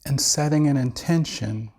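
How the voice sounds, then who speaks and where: A man with a slightly creaky voice guiding a body scan meditation in a measured, soothing way with long pauses. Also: mid-range voice, a little mellow. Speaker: meditation teacher.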